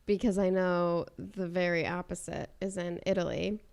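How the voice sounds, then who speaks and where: A young adult woman who grew up in Chicago, co-hosting a podcast and chatting in an upbeat, measured way. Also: fairly low pitch, fairly even intonation, creaky, a little mellow. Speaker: podcast co-host. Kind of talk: casual chat.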